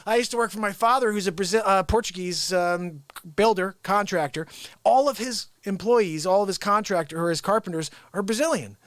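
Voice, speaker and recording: A man from the United States hosting an unscripted live stream, rambling excitedly, chuckling and greeting viewers by name. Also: sits high, fairly quick, dry recording. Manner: hypes the show with catchphrases. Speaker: livestream show host.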